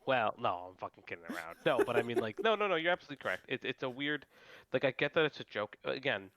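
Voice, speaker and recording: A man's voice: medium pitch, sing-song, quick pace, a bit bright. A man co-hosting casual game commentary, agreeable and hedging, often trailing off mid-thought. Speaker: co-host.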